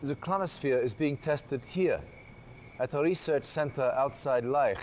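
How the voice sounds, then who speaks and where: A man's voice: medium pitch, measured pace, lightly acted. Man voicing a stern game officer, commanding, mid voice, faint hiss. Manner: firm, commanding briefing. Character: stern male military commander giving orders. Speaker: military officer.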